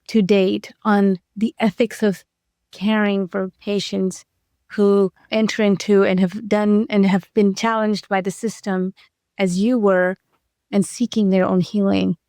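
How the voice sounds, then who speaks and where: Woman podcast host, smooth clear voice, pretty even tone, deliberate, very dry sound. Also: medium pitch, no room sound. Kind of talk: reflective interview questioning.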